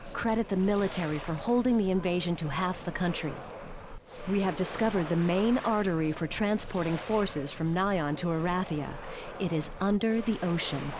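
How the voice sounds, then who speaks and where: Game cutscene commander, female actor: resolute, measured, slightly low register, noticeable background noise. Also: lightly acted. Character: resolute female war leader briefing her armies. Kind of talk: scripted video game cutscene narration.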